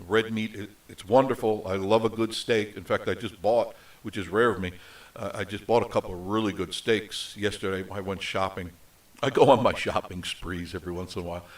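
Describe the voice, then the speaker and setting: A middle-aged man talks off the cuff on a live show, his nasal voice sitting somewhat low. He wanders through thoughts with plenty of ums and restarts. He sounds a little distant from the microphone. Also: fairly even intonation, brisk. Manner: rambling, with many fillers and restarts. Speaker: host of a live travel show. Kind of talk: unscripted casual live chat. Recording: voice set back from the mic.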